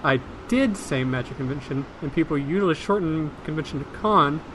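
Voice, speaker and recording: A man plays an unbothered magic user in a comedic audio drama. His voice is a bit high. He talks in a dry, blunt, pedantic way over faint background noise. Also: animated, a little fast, fairly bright tone, rough recording. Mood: matter-of-fact. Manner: dry, matter-of-fact, pedantic.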